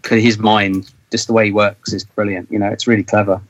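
A man's voice: lowish voice, level tone, fairly quick, dull audio. A British man chatting casually as a band member in an interview, rambling and thinking aloud as he goes.

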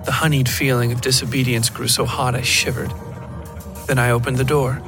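A man narrates a scripted horror tale for a fiction podcast. His pitch is average for a male voice, and music plays clearly underneath. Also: flat delivery, fairly quick. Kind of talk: scripted first-person horror story narration.

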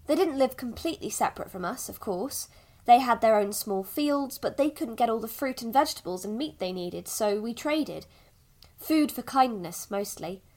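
A woman playing a patient village mother in an audio drama, softly coaxing and a little evasive about the past. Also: on the high side, animated, moderate pace. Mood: gentle, explaining.

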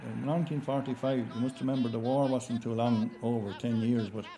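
A man's voice: mid-range voice, fairly even intonation, fairly quick, dark tone, slight background noise. A man recollecting casually in an interview.

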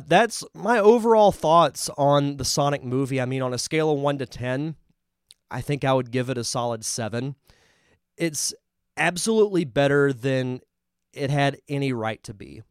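A man's voice: medium pitch, unhurried, clear, resonant tone, dry recording, studio quality. A man with a congested voice hosting a live podcast, chatting casually and enthusiastically as he reviews a film. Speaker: podcast host.

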